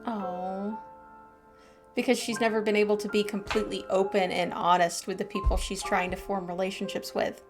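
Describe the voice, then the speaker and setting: A woman's voice: mid-range voice, level tone, normal speed. A woman streamer giving casual, lighthearted, chatty commentary while playing through a game. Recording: game music behind the voice. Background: game music.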